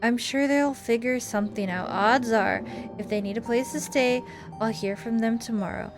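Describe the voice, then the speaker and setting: A woman's voice: a little high, moderate pace, over music, lightly acted. A woman voicing a prickly, exasperated female cousin in a visual novel game, scolding and sarcastic. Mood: dismissive, irritated.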